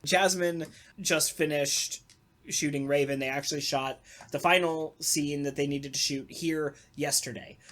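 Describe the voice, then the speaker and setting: A podcast host talking casually in an animated, sarcastic way, with some swearing. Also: mid-range voice, measured pace. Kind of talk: casual talkback chat.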